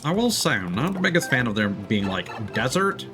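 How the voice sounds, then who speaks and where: A man's voice: mid-range voice, big pitch swings, normal speed. A man livestreaming a game with casual commentary, musing aloud in a laid-back way. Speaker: livestream host. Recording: game music behind the voice. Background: video game music.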